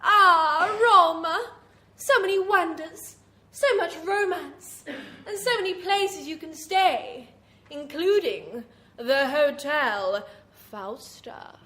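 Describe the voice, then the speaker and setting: High-pitched wicked horror hostess. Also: female voice, slow, sharp tone, heavily acted. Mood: ominous relish. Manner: theatrical, arch, confiding. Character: vain, wicked female horror hostess, gleefully macabre. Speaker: host of horror anthology. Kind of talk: live horror radio storytelling.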